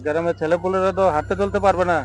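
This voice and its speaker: Man acting as a fast-talking village chairman. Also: sits high, steady tone, faint music behind, close to an everyday voice. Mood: concerned. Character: concerned village chairman giving advice. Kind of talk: improvised amateur skit acting.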